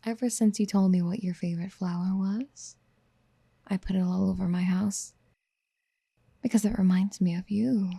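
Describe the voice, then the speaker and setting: A woman playing a doting, possessive, motherly yandere in an ASMR roleplay, speaking in soft, breathy whispers with tender coaxing, close to the mic. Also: medium pitch, fairly even intonation, moderate pace, pauses often, dry recording. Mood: adoring.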